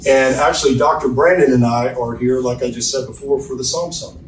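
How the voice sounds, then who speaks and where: A man's voice: medium pitch, fairly even intonation, a little fast, a little hiss or hum. Chatty male podcast host in an echoey room. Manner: friendly, upbeat, talkative. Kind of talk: casual interview. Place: hotel suite. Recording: roomy hotel suite, distant mic.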